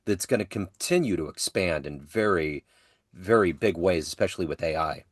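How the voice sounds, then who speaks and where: A man's voice: sits low, moderate pace, no room sound, clean studio sound. A man hosting an interview on a video call, a longtime jazz radio presenter who talks in an easygoing, enthusiastic way with jazz slang. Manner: relaxed, enthusiastic jazz talk with slang like "cats" and "dig". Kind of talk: casual conversational interview.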